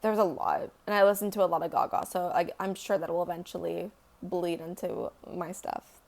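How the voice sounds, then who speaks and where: A woman's voice: steady tone, moderate pace, creaky, a little mellow. Rambling young woman in casual chat. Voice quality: deeper singing voice. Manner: rambling, filler-heavy, swears casually. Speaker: singer answering fan questions.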